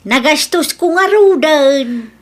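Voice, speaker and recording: A woman's voice: high voice, lively intonation, slow. A woman playing a guilty, apologetic mother in an Ilocano radio drama, with a Filipino accent and a pleading manner. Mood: defensive.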